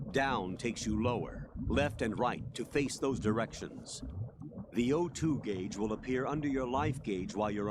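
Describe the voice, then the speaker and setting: A man's voice: medium pitch, close to an everyday voice. Man acting a stern military colonel, noisy capture. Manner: authoritative, clipped, instructive. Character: stern middle-aged military colonel giving mission orders by radio. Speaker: mission commander. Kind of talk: scripted video game voice acting, tutorial briefing.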